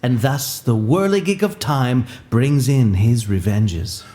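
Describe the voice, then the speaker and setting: Man playing a slow, mocking vengeful jester. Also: mid-range voice, animated, warm tone. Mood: mocking, triumphant. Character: mocking jester savoring his revenge. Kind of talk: theatrical Shakespearean audio play acting.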